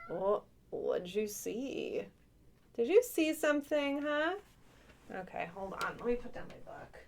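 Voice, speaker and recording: A soft-spoken woman chatting casually in an ASMR style, often dropping into whispers, playful and doting toward the listener. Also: mid-range voice, slight background noise. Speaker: ASMR creator talking to viewers. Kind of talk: casual rambling ASMR chat.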